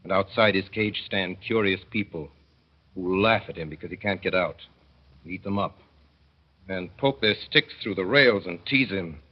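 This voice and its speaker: Deep husky man narrating old radio drama. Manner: wry, mournful storytelling, slowing to dwell on sad images. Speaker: narrator and father. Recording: dull old radio broadcast.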